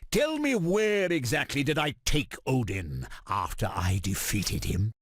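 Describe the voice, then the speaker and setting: An actor playing an arrogant armored supervillain tyrant in a video game, declaiming in a pompous, commanding, gravelly voice. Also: male voice, mid-range voice, very animated, unhurried, no echo, clean studio sound. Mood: scornful grandeur. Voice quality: gravelly, rasping. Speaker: video game villain character. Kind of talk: theatrical video game villain voice clips.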